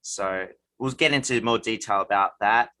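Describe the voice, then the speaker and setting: A man's voice: lowish voice, moderate pace, sharp tone. A young man from Australia with an Australian accent, hosting a podcast in easygoing casual chat and leading the conversation. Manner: easygoing, leads the talk. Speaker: podcast host.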